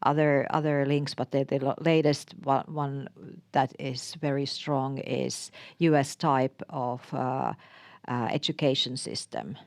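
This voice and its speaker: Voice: medium pitch, flat delivery, a little slow, creaky, no echo, studio quality. An interviewer in a conversation, listening attentively and giving frequent murmured agreement.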